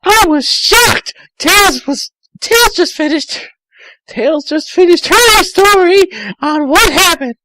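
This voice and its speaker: A man voicing an excitable, easily shocked young female cartoon character in an exaggerated shrill falsetto. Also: takes it slowly, no room sound, heavily acted, rough recording. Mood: dramatic. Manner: exaggerated, dramatic.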